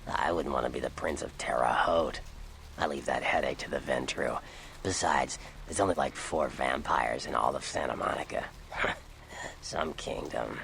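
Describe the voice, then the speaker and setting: An actor voicing a cynical, sly Nosferatu vampire informant in a video game, with a gravelly American voice and a dry, sardonic manner. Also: male voice, extremely low pitch, moderate pace, fairly bright tone, slight background noise, full character voice. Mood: wry, amused. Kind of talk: video game character dialogue.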